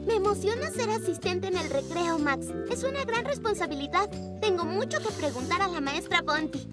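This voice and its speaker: Very high-pitched eager little girl bunny. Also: lively intonation, moderate pace. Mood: excited, earnest. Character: cheerful, responsible young girl bunny, eager big sister. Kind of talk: children's cartoon dubbing. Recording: music under the voice.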